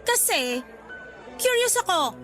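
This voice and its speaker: Very high, bright, wounded young sweetheart. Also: female voice, big pitch swings, slow, full character voice. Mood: guarded, bitter. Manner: confrontational, emotional. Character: Rosie, hurt young woman, defiant but still in love. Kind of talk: Tagalog radio romance drama acting. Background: background music.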